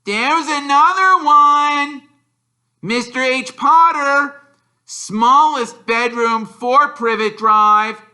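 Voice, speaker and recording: A man voicing a spoiled, demanding young boy in a storybook, loud and whiny with drawn-out bawling. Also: very high voice, pitched above the performer's own voice, full character voice. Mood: shouting.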